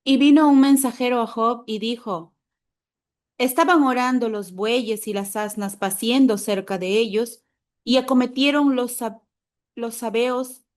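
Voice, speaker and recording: A woman reading a Bible passage aloud in church in a steady, measured way. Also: on the high side. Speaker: scripture reader.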